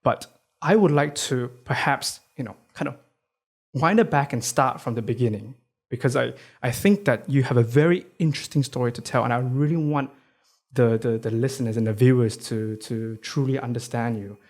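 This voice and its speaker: A man with a mid-pitched voice hosts a podcast, reading an introduction before moving into conversation with his guest. He talks in a friendly way and peppers his questions with "uh," "like," and "right?". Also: moderate pace, darkish tone. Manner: friendly, many fillers like "uh", "right?" and "like". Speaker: podcast host. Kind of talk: scripted intro, then casual interview.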